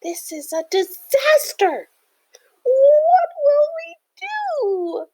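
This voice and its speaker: A woman's voice: very high-pitched, very animated, very slow, darkish tone, voice pushed up, full character voice. A woman voicing a small, panicky crew of storybook paint scribbles in a plaintive, crying manner. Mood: panicked wail. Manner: plaintive, wailing.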